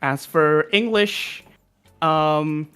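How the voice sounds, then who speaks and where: A young Indonesian man speaks English as a second language, his Indonesian accent light but present. His voice sounds bright and crisp and sits a little high for a man. He talks hesitantly, pausing on ums and correcting himself as he looks for words. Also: faint music behind. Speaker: music composer guest. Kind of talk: casual interview chat.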